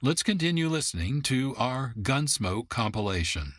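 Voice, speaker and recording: A man's voice: mid-range voice, very animated, moderate pace, very noisy. A man with an American accent reading scripted narration as the host and announcer of a radio show.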